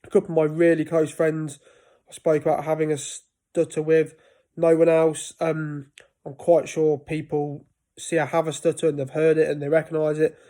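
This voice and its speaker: A man's voice: on the high side, monotone, moderate pace, mellow. A man in his thirties talking casually to camera about life with a stutter, often breaking off and restarting words. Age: 30s. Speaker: vlogger.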